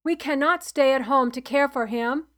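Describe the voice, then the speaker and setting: A woman voicing a stout, red-faced man, an anxious and stern father who speaks loudly, pleading and scolding, in a storybook reading. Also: high voice, moderate pace, pitched above the performer's own voice. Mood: insistent.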